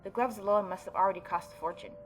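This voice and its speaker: A woman's voice: medium pitch, fast. A young woman with a mid-range voice hosts a casual gaming playthrough. She moves between reading aloud and informal, offhand commentary, with faint music behind her. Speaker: gaming YouTuber.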